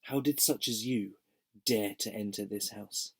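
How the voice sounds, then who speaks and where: A slightly hoarse man voicing a tall, cold, imperious queen who speaks in a commanding, haughty way. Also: lowish voice, a little slow, fairly bright tone. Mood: scornful. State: slightly hoarse from a sore throat.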